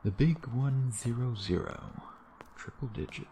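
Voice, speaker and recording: A man playing a sardonic, teasing vampire in an intimate ASMR roleplay, with a low, gravelly voice and an unhurried, predatory manner. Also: lively intonation, mellow, slight background noise. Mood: grudging, boastful. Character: teasing, sardonic male vampire, a century old, youthful predator.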